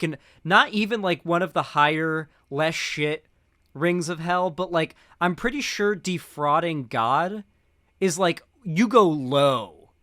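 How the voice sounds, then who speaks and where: A man's voice: a little high, fairly bright tone, no echo, studio quality. A young man in casual streamed political commentary, rambling and sarcastic, full of fillers and restarts.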